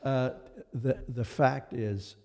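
An older man, a minister, recalling ministry history in a casual interview, speaking haltingly and repeating phrases for weight. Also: medium pitch, fairly even intonation, dark tone. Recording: long reverberant hall echo.

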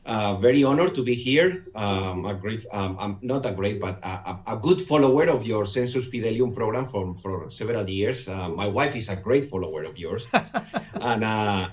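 Low-voiced Spanish-accented man guest. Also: expressive, normal speed. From Navarre, Spain. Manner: polite, hesitant, full of fillers. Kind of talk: casual interview.

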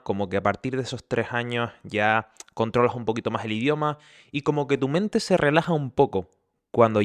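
A young man from the Canary Islands with a Canarian Spanish accent, hosting a casual podcast from home with chatty, joking asides. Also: medium pitch. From Canary Islands, Spain. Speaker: podcast host. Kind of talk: casual chat. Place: at home, indoors.

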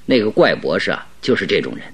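Deep-voiced, grave radio detective. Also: male voice, sing-song, quick pace, slightly rough, exaggerated voice. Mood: grave, confiding. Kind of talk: radio drama acting.

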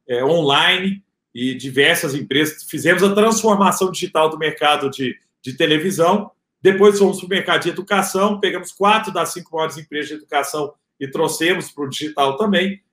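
A man in his thirties with a Rio de Janeiro accent talks loosely and casually, full of slang and fillers. His voice sits a bit high for a man, and the room around him echoes. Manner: relaxed slangy talk with filler words. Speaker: entrepreneur guest. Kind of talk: casual interview storytelling.

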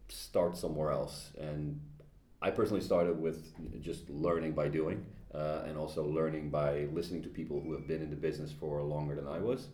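Man, panel guest, very deep voice, mellow tone, roomy echo. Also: little pitch movement, normal speed, slight background noise. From Netherlands. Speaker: panelist, freelance digital analyst. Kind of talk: panel discussion. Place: meeting room.

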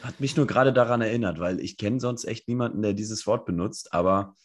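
A young man with a cold chats and jokes loosely as a podcast co-host. His voice sits low and sounds hoarse and husky. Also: a little fast. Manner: joking, laid-back banter. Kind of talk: casual chat.

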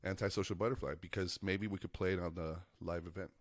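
A man co-hosting a casual podcast, stuffy from allergies, telling stories in a relaxed, rambling way with lots of fillers. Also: sits low, fast talker, dry recording, studio quality. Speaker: podcast co-host and piano player. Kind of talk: casual chat.

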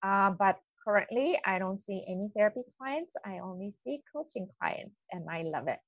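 A woman's voice: mid-range voice, lively intonation, moderate pace, dry recording, lo-fi sound. A woman in her forties from Japan with a Japanese accent, giving a coaching webinar with many hesitations and eager asides. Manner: hesitant, many ums, enthusiastic. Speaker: life coach presenter. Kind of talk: webinar presentation.

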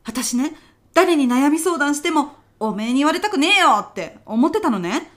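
A woman in a comedic radio drama playing a fretful, pushy senior office worker with a blunt, rough-mouthed way of talking. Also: high voice, very animated, moderate pace. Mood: brisk, confiding. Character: bossy, fretful female senior office worker with petty worries.

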